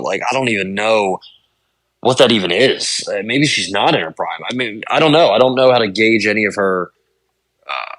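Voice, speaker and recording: A man's voice: lowish voice, fairly bright tone, no echo, low-quality recording. A man co-hosting a podcast, breaking down fighters in casual chat, with many ums and I-means. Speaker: podcast co-host. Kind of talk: casual sports analysis chat.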